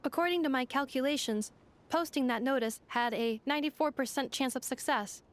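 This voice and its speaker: A woman voicing a calm, logical android helper woman who speaks in clipped, formal, machine-like reports in a video game. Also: sits high, brisk, natural voice. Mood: steady.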